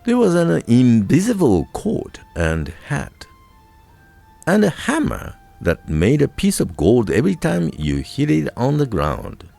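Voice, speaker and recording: Japanese guy w accent reading a folk tale, really swoopy pitch, slow, soft music behind. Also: creaky. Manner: deliberate, expressive storybook delivery with dramatic emphasis. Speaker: storyteller, radio voice crew narrator. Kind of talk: scripted folk tale narration for listeners.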